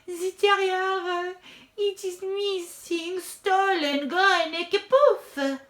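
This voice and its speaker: A glamorous storybook diva, very high and theatrical. Every word is drawn out with melodramatic anguish. The room adds some echo. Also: female voice, lively intonation, a bit bright, heavily acted. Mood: tearful. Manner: theatrical, drawn-out, sobbing delivery. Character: glamorous, melodramatic opera diva, female animal.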